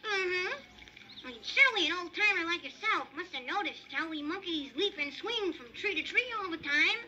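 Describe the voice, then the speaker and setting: A squeaky cheeky monkey. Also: animated, moderate pace, sharp tone, highs cut off, full character voice. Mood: sly. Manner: cocky, teasing. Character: clever cheeky young male monkey. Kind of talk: storybook character voice.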